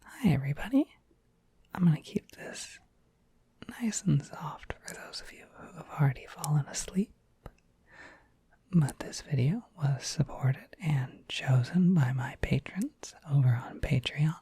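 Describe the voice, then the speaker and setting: An ASMR audio creator speaking in a hushed, breathy whisper, softly thanking supporters. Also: mid-range voice, animated, measured pace, no echo.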